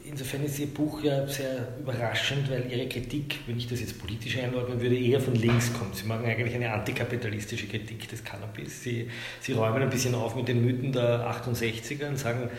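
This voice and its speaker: A man interviewing a guest, summing up his views and asking measured, probing questions. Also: medium pitch, steady tone. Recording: echoey room with faint noise.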